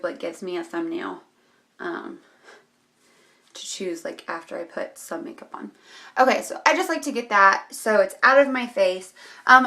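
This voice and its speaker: Hoarse chatty woman vlogger, bright tone. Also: medium pitch. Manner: rambling, chatty, many ums and likes. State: hoarse, losing her voice. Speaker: beauty vlogger. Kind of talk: casual makeup tutorial.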